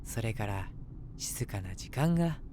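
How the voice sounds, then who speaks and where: A man's voice: lowish voice, animated, unhurried, background noise. A man playing an eager, submissive, lovestruck young man in an audio drama, chatting and rambling to the listener with self-mockery. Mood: deflated, wistful. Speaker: voice actor. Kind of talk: situation voice drama, first-person monologue to the listener.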